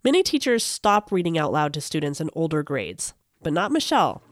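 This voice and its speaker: A woman's voice: deep voice, very animated, a little fast, no room sound, clean studio sound. An American woman hosting a podcast, moving between scripted narration and interview questions. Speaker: podcast host.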